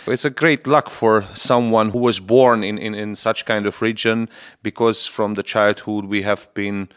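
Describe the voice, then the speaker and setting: A man in his forties, a Lutheran bishop from Transylvania whose first language is Hungarian, answering radio interview questions in halting, earnest English as he searches for words. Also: fairly low pitch, fairly even intonation, a bit bright, clean tone. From Romania, Transylvania.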